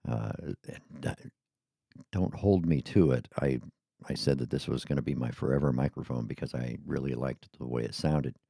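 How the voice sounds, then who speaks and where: A middle-aged man with an extremely deep, gravelly voice talks through a casual, rambling podcast monologue and keeps drifting off topic. His tone is dark and mellow. The sound is dry and close, recorded on a studio mic in a small home studio with sound panels. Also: moderate pace, dry recording. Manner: rambling, chatty, goes off on tangents. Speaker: podcast host. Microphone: studio microphone.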